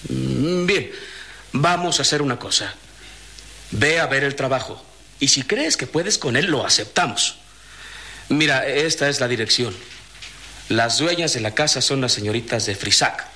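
A Mexican actor playing a cautious, hesitant middle-aged master cabinetmaker in a radio drama. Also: male voice, mid-range voice, animated, unhurried, long pauses, bright, forceful tone. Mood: doubtful. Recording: noisy old radio drama recording.

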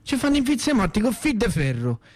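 Frantic high-voiced haunted Roman civilian. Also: male voice, huge pitch swings, brisk, darkish tone, dry recording, heavily acted. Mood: frantic, anguished. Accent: Roman Italian. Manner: repetitive, frantic, strained high delivery. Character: distraught, obsessive civilian haunted by war dead.

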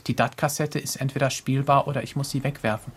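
A man's voice: mid-range voice, steady tone, fast, a little hiss or hum. A man, a sound engineer, explaining technical matters in a matter-of-fact, pointed way.

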